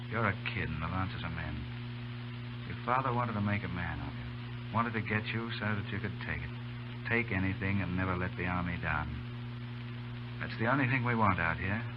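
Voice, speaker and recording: A man's voice: sits low, lightly acted. A man acting as a seasoned, tough army officer with a fatherly streak. He speaks in the manner of 1930s radio drama, through a dull recording with plenty of hiss and hum.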